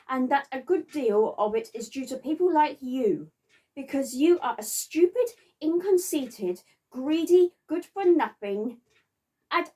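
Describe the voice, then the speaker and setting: A woman chatting casually to viewers as a vlogger, halting and sometimes stumbling over words. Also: sits high, darkish tone, rough recording. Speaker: vlogger reviewing a theatre visit. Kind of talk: casual unscripted chat to viewers.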